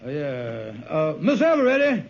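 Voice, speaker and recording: A man's voice: fairly high pitch, huge pitch swings, normal speed, vocal fry, exaggerated voice. An actor playing a scheming, broke, blustering married man who stalls and wheedles in ungrammatical talk on an old radio sitcom. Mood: bluffing. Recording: muffled, noisy old radio recording.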